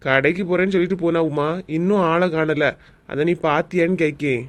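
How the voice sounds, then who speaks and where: A man plays a chatty cartoon office-worker husband with a Kanyakumari Tamil accent. He is friendly and excitable, a fellow who is easily amazed. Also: on the high side, fairly quick, no room sound, lightly acted. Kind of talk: cartoon comedy voice acting.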